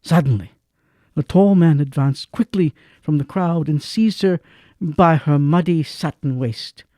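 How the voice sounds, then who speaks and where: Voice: medium pitch, measured pace, warm tone, dry recording, studio quality. A narrator telling a dramatic story in an audio drama. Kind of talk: scripted narration.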